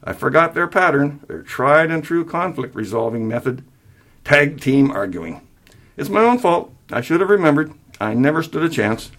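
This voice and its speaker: A man's voice: on the high side, big pitch swings, fast talker, voice pushed up. A man reading a story aloud, voicing an exasperated but reasonable grown-up son with an emphatic, sarcastic delivery. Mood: resigned. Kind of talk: acted dialogue in a story reading.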